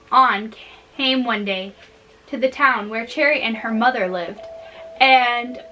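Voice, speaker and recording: Bright-voiced woman reading fairy tales. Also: a little high, rough recording. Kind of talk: storybook reading with casual asides. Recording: noticeable background noise.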